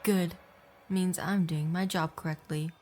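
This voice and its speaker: A woman's voice: fairly low pitch, steady tone, unhurried, a little mellow, faint music behind, natural voice. A woman playing a cold, ominous shadow mage with a formal, stern, menacing way of talking in a fantasy audio drama.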